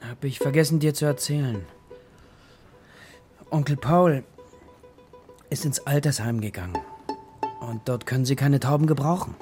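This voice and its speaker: An actor playing a distracted, dreamy father in a children's radio play, musing and offhand, with a creaky voice. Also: mid-range voice, normal speed, pauses often, darkish tone, soft background music, close to an everyday voice. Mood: evasive. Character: distracted, dreamy composer father of a young girl.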